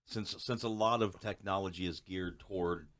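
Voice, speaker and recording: Man, very low pitch, podcast co-host, interviewer, casual chat. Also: steady tone, normal speed, a bit bright, no echo. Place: podcast studio, in person.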